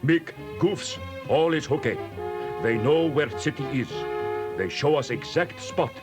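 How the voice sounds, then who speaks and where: A big jolly desert guide, fake foreign accent, kinda high n slow, music behind. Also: male voice, expressive. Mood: reassuring. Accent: put-on foreign. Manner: broken English, hearty, reassuring. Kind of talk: cartoon storybook dialogue. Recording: music behind, 1980s cassette storybook.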